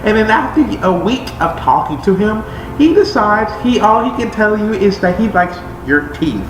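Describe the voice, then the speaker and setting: A man's voice: high-pitched, animated, normal speed, pitched above the performer's own voice. A man voicing a melodramatic drunk young woman, wounded and rambling, in a comedic vlog story. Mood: indignant ranting. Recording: roomy echo, faint background noise.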